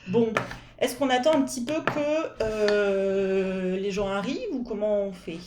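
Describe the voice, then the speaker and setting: A woman hosting a webinar, asking questions in a relaxed, informal way over a video call. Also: mid-range voice, takes it slowly. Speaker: webinar host. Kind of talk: casual interview questions. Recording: echoey room with noise.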